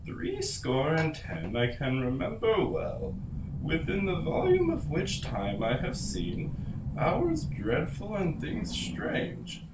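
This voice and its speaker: A man's voice: on the high side, huge pitch swings, heavily acted. A man acting an old man in his seventies, troubled by omens, portentous in a Shakespearean radio play. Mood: troubled, grave. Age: 70s. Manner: slow, portentous verse delivery. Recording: roomy, distant, noisy background.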